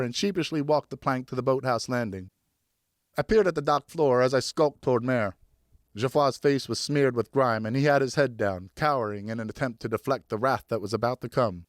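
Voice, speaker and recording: A man narrating an audiobook as a dramatic, theatrical storyteller with expressive scripted delivery. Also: mid-range voice, dry recording, studio quality. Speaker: audiobook narrator, professional voice actor.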